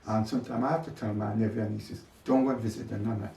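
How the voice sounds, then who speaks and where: Low, gravelly older man, echoey recording. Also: steady tone, quick pace, mellow. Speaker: interviewee. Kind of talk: reflective interview talk. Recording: echoey, distant mic, faint noise.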